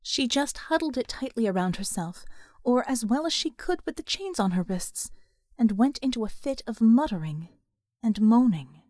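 Female audiobook narrator, higher register, scripted fiction, very dry recording. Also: lively intonation, normal speed, a little mellow, no room sound.

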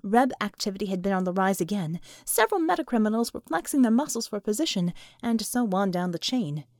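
A woman reading scripted fiction as an audiobook narrator, with expressive, dramatic storytelling. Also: medium pitch, brisk, no room sound, studio quality.

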